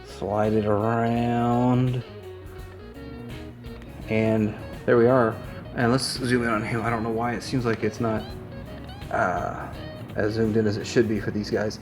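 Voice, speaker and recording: A man's voice: fairly low pitch, flat delivery, a little slow, long pauses. A man presenting an unscripted product review, rambling in an easygoing way as he thinks aloud while handling items, with music behind him.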